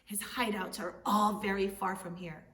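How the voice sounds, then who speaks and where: A woman's voice: medium pitch, fairly even intonation, moderate pace, a bit bright, close to an everyday voice. A woman voicing a sly young male trickster in a storybook, theatrically courteous and falsely helpful. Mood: eagerly helpful. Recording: echoey room, distant mic, faint noise.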